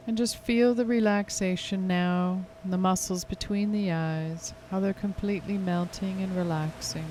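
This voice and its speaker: A woman leading a guided hypnosis meditation in a soothing, lulling, unhurried way. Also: lowish voice, warm tone, a little hiss or hum. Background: music.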